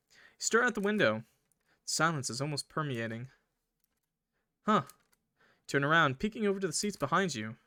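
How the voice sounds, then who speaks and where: A man's voice: mid-range voice, pushed and bright, no echo. A man hosting a gaming video, reading visual novel narration aloud and adding informal, crude joking asides.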